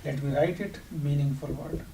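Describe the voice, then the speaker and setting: A man's voice: fairly high pitch, steady tone, moderate pace, gravelly, mellow. A man with a Pakistani accent and Urdu as his first language, giving an instructional lecture in an explanatory way, posing questions and answering them. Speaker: teacher. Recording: distant microphone, audible background noise.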